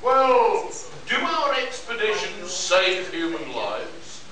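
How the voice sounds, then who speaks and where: A man acts out a jaded young space adventurer in a staged science fiction radio play. He grumbles and declaims slowly in a very high register. The sound is distant and heavily echoed, with some audience murmur behind. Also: sing-song, crowd noise, heavily acted. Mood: weary, grumbling. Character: weary, cynical young male botanist-adventurer in space. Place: live performance in a convention room.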